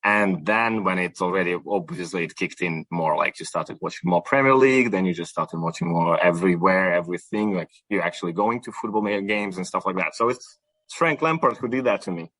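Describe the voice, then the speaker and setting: Young man, lowish voice, dry close sound, chatty with restarts. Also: a little fast, fairly bright tone, no room sound, rough recording. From Ukraine. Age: young adult. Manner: chatty, many fillers and restarts. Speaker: supporters club guest. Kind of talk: casual podcast interview.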